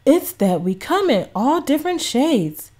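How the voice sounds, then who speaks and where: A woman voicing a reassuring, patient father in a children's storybook, talking with gentle emphasis to his child. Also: high voice, very animated, unhurried. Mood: patient, explaining. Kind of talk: children's storybook read-aloud.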